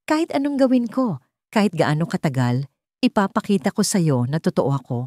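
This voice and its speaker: A woman voicing a remorseful young man who pleads his love earnestly and haltingly in a romance story. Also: lowish voice, sing-song, darkish tone, no room sound, studio quality. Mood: determined.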